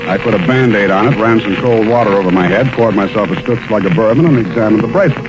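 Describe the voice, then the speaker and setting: A man's voice: on the low side, fast talker, over music, close to an everyday voice. An American man playing a wisecracking hardboiled private detective in 1940s radio style, wry, flippant and punchy. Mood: wry narrating. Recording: noisy old 1940s radio broadcast.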